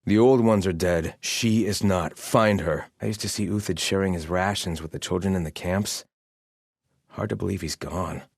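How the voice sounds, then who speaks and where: A man's voice: low voice, normal speed, creaky, husky, no echo, studio quality, heavily acted. A man playing a wary, devout soldier of a sun-worshipping army in a video game, speaking sternly in formal, clipped phrases. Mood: wary, discontented. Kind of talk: scripted video game voice lines.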